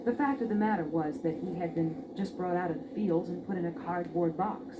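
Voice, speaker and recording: A woman's voice: on the low side, a little fast. A woman narrating a scripted fairy tale expressively as a storyteller. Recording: dull, noisy old record.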